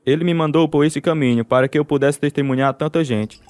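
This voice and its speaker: A man's voice: on the high side, fast, darkish tone, dry recording, natural voice. A man with a Brazilian accent playing a devout, serene older Russian pastor and father freed from prison, in a radio drama. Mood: serene, testifying.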